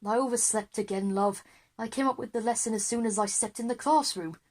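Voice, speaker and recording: A woman's voice: on the high side, fairly quick, lightly acted. A woman voicing a well-meaning, flustered male history teacher in a children's audio drama, explanatory and apologetic. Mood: sheepish, tired.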